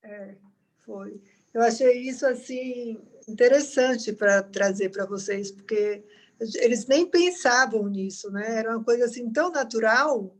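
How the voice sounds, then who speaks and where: Earnest dermatologist woman, fairly high voice, reflective pauses on a call. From Salvador, Bahia, Brazil. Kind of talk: speaking on a video call. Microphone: video call.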